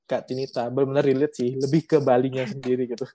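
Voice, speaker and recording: A woman's voice: a bass voice, level tone, fast, a crowd in the background. A young woman from Bali, a student guest on a podcast, chatting casually with lots of fillers and laughter. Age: young adult. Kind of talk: casual chat.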